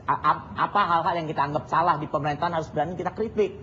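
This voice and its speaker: A man's voice: on the high side, racing, pushed and bright. A man speaking as a guest in a televised debate, rambling, with hesitant restarts. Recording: dull archive TV audio with faint hiss.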